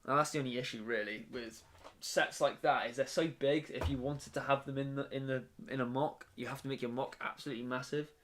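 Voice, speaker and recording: A man's voice: medium pitch, steady tone, a little fast, a bit bright, a little hiss or hum. A young man chatting casually on a live stream from his bedroom while he builds, rambling with lots of fillers and restarts.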